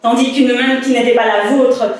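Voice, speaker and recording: An actress playing a lovesick, obsessive woman, delivering a poetic and halting theatrical monologue in a staged reading. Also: a little high, steady tone, lightly acted. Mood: reproachful. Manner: dramatic, halting, incantatory delivery with repetitions. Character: lovesick woman consumed by dangerous obsession, anguished, insistent. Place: staged reading in a reverberant indoor space. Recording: very echoey room, far from mic.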